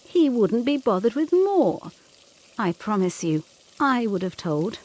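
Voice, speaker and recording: A woman's voice: a little high, sing-song, a little slow, a little mellow. A woman playing an earnest, anxious young Victorian governess in a gothic audio drama, intense and questioning in formal period diction. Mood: insistent, suspicious. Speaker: actor in an audio play.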